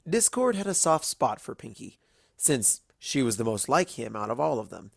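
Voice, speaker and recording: A man's voice: mid-range voice, sing-song, moderate pace, gravelly, no room sound, studio quality. A man reading a scripted story aloud as its narrator.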